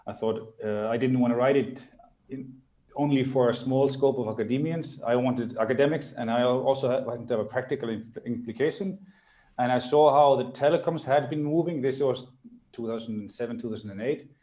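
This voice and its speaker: An Icelandic-accented man talks as an expert guest on a podcast. His voice is dark and mellow, sitting at a middle pitch, and his answers are conversational. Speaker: podcast guest, academic and business consultant.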